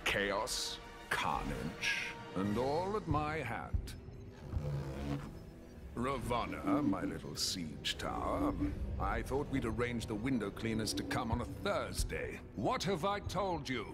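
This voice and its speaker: A man's voice: mid-range voice, big pitch swings, over loud music. An actor playing a pompous male conqueror, grandiose and fussy, in a game cutscene. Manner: grandiose, fussy, slow drawl. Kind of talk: video game cutscene acting.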